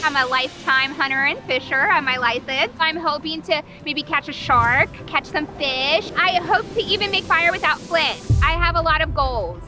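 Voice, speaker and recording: A woman in her forties from West Virginia, talking in an excitable, bubbly way in a cast introduction interview. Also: high voice, moderate pace, few pauses, over music. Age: 40s. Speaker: reality show contestant.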